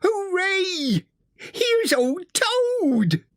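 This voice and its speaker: A reader voicing a cheery, excitable male mole in a storybook. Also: mid-range voice, unhurried, no room sound, clean studio sound, pitched above the performer's own voice. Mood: delighted. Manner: exclamatory, eager storytelling.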